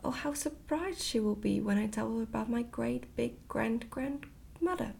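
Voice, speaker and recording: A woman voicing an inquisitive little princess, high-pitched. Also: moderate pace, vocal fry, darkish tone, slight background noise. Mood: eager. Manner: inquisitive, eager questioning. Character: curious little princess, a bright, direct child. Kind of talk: soft-spoken ASMR storybook reading.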